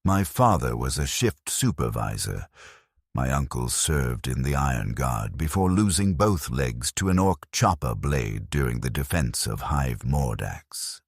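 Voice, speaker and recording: Man playing an embittered convict soldier, extremely low voice, dry close sound, bleak deliberate dramatic narration. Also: dry recording, exaggerated voice. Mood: grim, bitter. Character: grim, embittered condemned convict soldier recounting his ruin. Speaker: storyteller.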